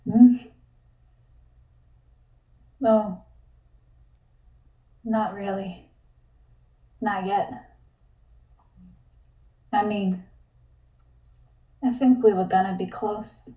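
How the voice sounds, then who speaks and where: A woman playing a drugged, withdrawn young psychiatric patient in a script table read, speaking flatly and with detachment. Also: mid-range voice, echoey room, far from the mic, muffled recording. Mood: drugged, detached. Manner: flat, slow, detached delivery.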